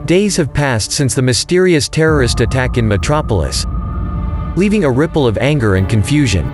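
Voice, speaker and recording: A man's voice: mid-range voice, big pitch swings, normal speed, music in the background. A man giving dramatic scripted narration for an audio drama.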